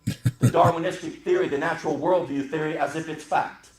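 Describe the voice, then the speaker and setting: A man's voice: on the high side, steady tone, fairly quick. A man giving an earnest, emphatic argument, heard in a video clip played back for review. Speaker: creationist speaker in a reviewed video.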